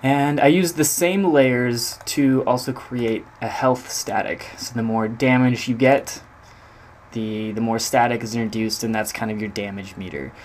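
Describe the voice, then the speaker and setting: A man's voice: medium pitch, fairly even intonation, measured pace, slight background noise. A man giving an informal walkthrough of a demo, speaking hesitantly as if thinking aloud. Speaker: game audio designer presenting own project.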